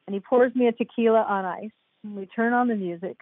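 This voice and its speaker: A woman's voice: on the high side, a little fast, no echo. Older woman on a tinny remote line. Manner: enthusiastic, laughs often. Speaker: guest author. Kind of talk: casual podcast chat. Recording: tinny phone-like line, dry.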